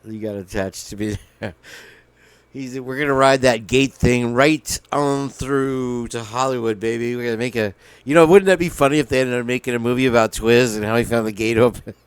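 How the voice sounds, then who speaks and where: A man's voice: mid-range voice, moderate pace, clean tone, dry recording. A man hosting a casual livestream, rambling and joking between bits of guitar playing, his voice crackly today. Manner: rambling, joking, sings off-key. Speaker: livestream host. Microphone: headphones with mic.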